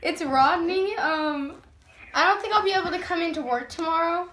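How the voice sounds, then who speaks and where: A woman with a high voice talks casually as she makes prank calls. The room sounds echoey, with a faint layer of noise. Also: moderate pace, fairly bright tone. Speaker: prank caller. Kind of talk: casual prank phone call. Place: small furnished bedroom indoors.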